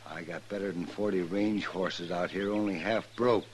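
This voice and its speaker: A man's voice: low-pitched, steady tone, normal speed, close to an everyday voice. A man playing a mean, stubborn old horse rancher in a radio western, with a defiant, folksy drawl and an American Western accent. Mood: defiant, scornful. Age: older. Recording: old radio recording with noise.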